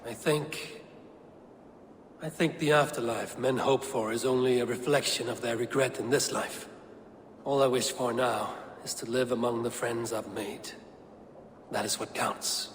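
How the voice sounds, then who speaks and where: Slow, solemn Viking warrior played by an actor. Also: male voice, medium pitch, steady tone, slight background noise, natural voice. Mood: subdued. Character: stoic, battle-weary Viking warrior leader, male, adult. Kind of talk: dramatic video game acting.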